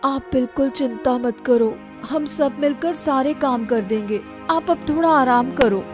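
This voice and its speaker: A woman playing a young, dutiful eldest granddaughter in an animated story, soothing and coaxing. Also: high voice, measured pace, over music. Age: young adult. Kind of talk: Hindi animated story dialogue.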